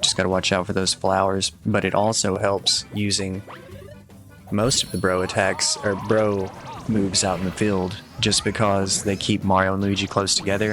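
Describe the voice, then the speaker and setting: A man giving casual, matter-of-fact video game walkthrough commentary in a low-key instructional way. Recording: voice over game music. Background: video game music.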